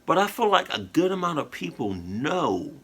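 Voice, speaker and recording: A man's voice: on the high side, very animated, normal speed, creaky, a bit bright, breathy edge. A tired man chatting casually to his viewers in a reaction video, rambling with slang, fillers and restarts. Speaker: YouTube reactor. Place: at home, indoors.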